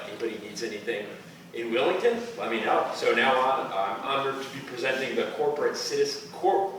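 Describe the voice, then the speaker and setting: A man presenting an award at a community dinner, hesitant with many uhs and restarts. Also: fairly low pitch. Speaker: real estate agent presenting an award. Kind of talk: awards night speech. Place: banquet room at an awards dinner. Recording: distant echoey hall with noise.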